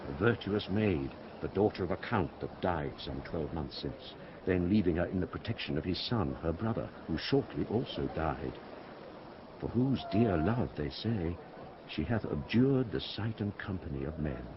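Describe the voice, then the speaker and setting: An actor playing a loyal, frank sea captain with a reassuring, storytelling manner in a Shakespeare radio drama. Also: male voice, sits low, lively intonation, moderate pace, noisy background. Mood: frank, helpful. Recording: muffled, loud outdoor ambience behind.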